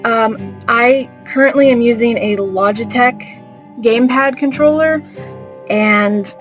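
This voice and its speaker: A woman's voice: fairly high pitch, fairly bright tone. A woman hosting a let's play, chatting informally and in a rambling way straight to viewers. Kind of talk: casual, chatty gameplay commentary. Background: game music.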